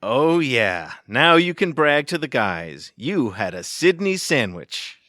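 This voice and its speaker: A man's voice: medium pitch, very animated, takes it slowly, bright, forceful tone, no echo, clean studio sound, heavily acted. An actor playing a sleazy, boastful male flirt in a comedic audio play, smarmy and cocky. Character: sleazy, boastful male flirt, a self-important ladies' man. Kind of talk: comedic audio theatre acting.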